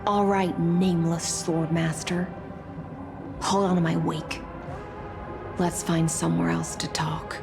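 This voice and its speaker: Low, creaky, regal warrior woman. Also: flat delivery, slow pace, long pauses, music in the background. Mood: commanding. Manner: haughty, composed, formal. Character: regal, cool female sea-born warrior loyal to her empress. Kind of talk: dramatic game dialogue.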